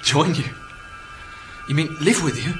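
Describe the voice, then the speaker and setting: An actor with a British accent playing a lonely, stranded astronaut in a radio drama, anxious, halting and incredulous. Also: male voice, on the high side, close to an everyday voice. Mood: anxious, disbelieving. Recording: prominent music behind the voice.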